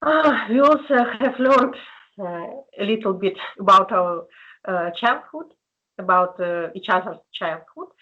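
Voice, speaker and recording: Russian speaking lady hosting an english chat club, slow and halting, phone-like call audio. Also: mid-range voice. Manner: hesitant, halting, many fillers. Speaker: conversation club host. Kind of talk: casual online group chat. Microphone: video call. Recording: tinny phone-like video call line.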